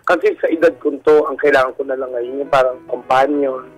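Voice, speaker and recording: A man's voice: mid-range voice, fairly even intonation, brisk, low-quality recording. Middle-aged man, music underneath. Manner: polite, uses respectful markers, mixes in English. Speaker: guest caller, bar comedian. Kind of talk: personal story told in a radio interview. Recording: music bed under radio talk.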